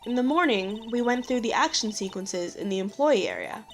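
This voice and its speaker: A woman's voice: on the high side, expressive, moderate pace. A woman voicing an earnest, helpful young female studio assistant in a game fan dub, polite and a little hesitant, with music behind. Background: background music.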